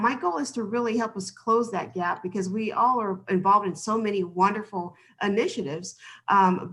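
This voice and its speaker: A woman speaks as a panelist in an earnest, measured and gracious way. Her voice sits at a middle pitch and crackles with fry. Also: a bit bright. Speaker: business planning consultant, conference speaker. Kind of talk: prepared panel remarks. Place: online video call.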